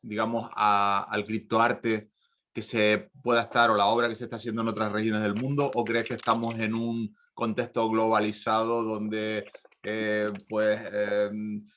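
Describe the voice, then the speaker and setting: A man moderating a conference Q&A, asking long, halting questions full of searching pauses. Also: on the low side, monotone, fairly bright tone, highs cut off, a little hiss or hum.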